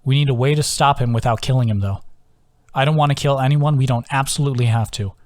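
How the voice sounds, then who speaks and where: Man reading as a young guy adventurer, casual, bantering, earnest, mid pitch, storybook dialogue. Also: quick pace, no echo, clean studio sound, natural voice. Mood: serious.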